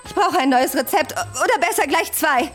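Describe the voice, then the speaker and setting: A woman's voice: high voice, lively intonation, normal speed, a bit bright, soft background music. An actress playing an exasperated, foul-mouthed blonde female film star with a lonely streak in a radio drama. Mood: exasperated, irritated. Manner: volatile, swearing.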